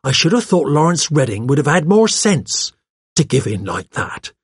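A man's voice: fairly high pitch, very animated, moderate pace, husky, no echo, clean studio sound, pitched above the performer's own voice, full character voice. A man voicing a chatty, arch young woman with a gushing, pert, colloquial way of talking, as in an audiobook. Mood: surprised, scornful. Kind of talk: audiobook character voice.

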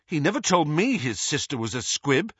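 Male reader as young lad, puzzled, insistent, bright voice, lively intonation, storybook dialogue. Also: a little high, moderate pace, husky, no room sound, muffled recording, voice pushed up, full character voice. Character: earnest, questioning teenage boy.

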